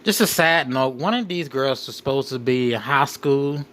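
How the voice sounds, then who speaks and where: A man hosting a crime commentary, reading out case details in a halting way and often repeating his phrases. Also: mid-range voice, expressive, fairly bright tone, slight background noise. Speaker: show host.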